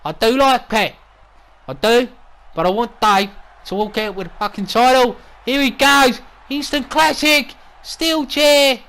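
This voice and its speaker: A man's voice: normal speed, long pauses, fairly bright tone. A man with an Australian accent playing a whiny, mouthy wrestling manager and commentator who swears a lot and gets defensive. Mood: excited. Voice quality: whiny, lacking bass. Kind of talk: wrestling commentary with in-character banter.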